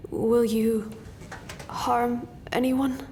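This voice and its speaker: Slow, grave woman passing judgment. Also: fairly high pitch, little pitch movement, darkish tone, a little hiss or hum. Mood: grave, hesitant. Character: grave, hesitant woman judging a killer's appeal. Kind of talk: audio drama acting.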